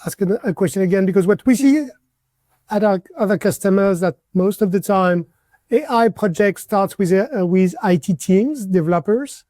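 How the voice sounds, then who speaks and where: A man's voice: high voice, animated, moderate pace, warm tone, no echo. A man on a conference panel asking questions, hedging and searching for words as he goes.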